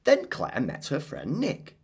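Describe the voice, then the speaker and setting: A woman reading a children's story aloud in an expressive, deliberate way. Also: extremely low pitch, vocal fry, slightly rough.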